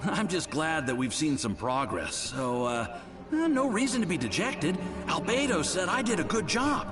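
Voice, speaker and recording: A man playing an eager, hesitant young research helper in a game, halting and bashful, over music. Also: fairly high pitch, big pitch swings. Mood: encouraged, flustered. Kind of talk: scripted game dialogue. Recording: background music behind the voice.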